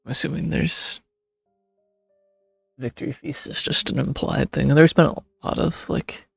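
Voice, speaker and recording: A male streamer talks through a game in a laid-back, halting way. His mid-pitched voice is heavily creaky, recorded dry with faint music underneath. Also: fairly even intonation, a bit bright, no room sound. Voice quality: creaky, gravelly. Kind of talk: casual playthrough commentary.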